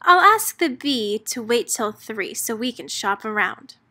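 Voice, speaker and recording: Nervous sensible bug husband, bright and high, read by a woman. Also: very animated, a little slow, heavily acted. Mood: worried. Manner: worried, rhyming verse.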